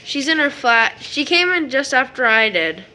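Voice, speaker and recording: A woman's voice: high voice, sing-song, normal speed, sharp tone, full character voice. A woman playing a sensitive, trusting seven-year-old boy in an audio drama, with a childlike, questioning manner. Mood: childlike, surprised.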